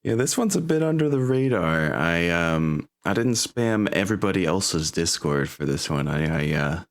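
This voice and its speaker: Man, low pitch, casual game master, informal slang, relaxed online chat. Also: normal speed.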